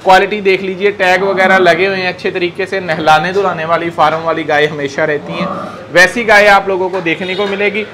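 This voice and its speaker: Male on-location presenter. High, sharp voice, interviews and talks to viewers. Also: little pitch movement, brisk. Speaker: host interviewing a dairy cattle dealer.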